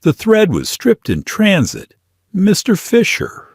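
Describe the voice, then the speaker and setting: A man's voice: high-pitched, wildly swooping pitch, slow, vocal fry, darkish tone, husky, dry recording, clean studio sound, voice pushed up, exaggerated voice. A man voicing a stubborn young male mechanic in an audio story, blunt and irritated, with a defiant edge. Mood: clenched, irritated.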